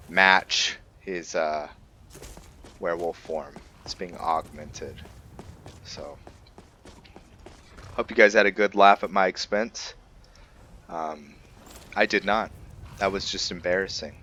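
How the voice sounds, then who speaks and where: A man giving casual, unscripted gameplay commentary, musing and haltingly thinking aloud. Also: low voice, bright, forceful tone, a little hiss or hum. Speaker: gamer hosting a let's play.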